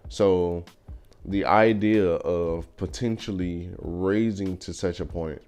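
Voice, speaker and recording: Young man, very low voice, casual vlog commentary, thoughtful, faint music behind. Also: fairly even intonation, darkish tone, smooth. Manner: thoughtful, measured, frequent fillers. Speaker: vlogger. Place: car.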